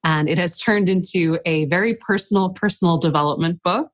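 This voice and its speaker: A woman's voice: lowish voice, brisk, no room sound. A woman author and coach, slightly stuffy from a cold, telling her story earnestly as a podcast guest. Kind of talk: casual podcast interview.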